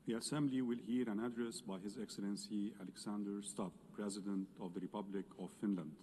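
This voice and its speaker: A man's voice: lowish voice, steady tone, fairly quick, warm tone, a little hiss or hum. A man presiding over an assembly, making formal procedural announcements. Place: large assembly hall.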